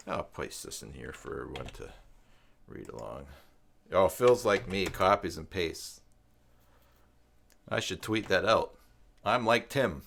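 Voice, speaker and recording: A man with a Canadian accent hosting a casual live tutorial, thinking aloud in a halting, musing way. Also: on the low side, gravelly, a bit bright. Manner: halting, musing, reads aloud and mutters to himself. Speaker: live stream host, web tutorial presenter.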